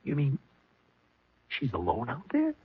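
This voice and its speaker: A man's voice: mid-range voice, huge pitch swings, a little slow, breathy edge, heavily acted. An actor playing an anxious, protesting businessman afraid for his life in a 1970s radio mystery. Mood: nervous worry. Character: panicky, agitated businessman in fear for his life. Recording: muffled lo-fi 1970s radio recording.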